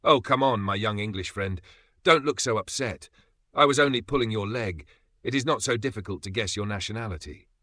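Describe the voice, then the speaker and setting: A man's voice: lowish voice, a little fast, sharp tone, no room sound, studio quality. A man voicing an amused, ironic Italian businessman who teases a young man, drawn out and theatrical. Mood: teasing.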